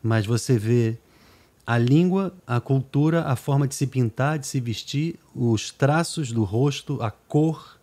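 A man's voice: medium pitch, unhurried, mellow. A man interviewing a guest in a chatty, rambling way, often checking in with tag questions. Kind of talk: interview conversation.

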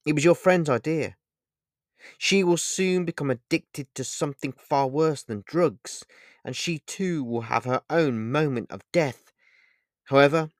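A man's voice: medium pitch, no room sound, clean studio sound, voice pushed up, natural voice. A man voicing a mysterious, angel-like stranger who speaks in a solemn, commanding way.

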